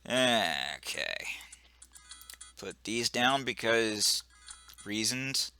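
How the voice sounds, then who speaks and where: A man's voice: fairly low pitch, big pitch swings, drawn-out words, pushed and bright, faint music behind. A man casually commenting as he plays a game, joking at himself and tripping over words. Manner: joking, self-mocking, trips over words. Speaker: let's play gamer.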